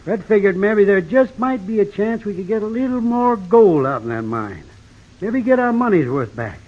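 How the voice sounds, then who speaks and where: An old rural Western American homesteader for a radio western. His voice sits rather high, and his talk is plain, drawling and folksy. The recording is dull and vintage. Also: expressive, moderate pace. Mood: rueful. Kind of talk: old-time western radio drama acting.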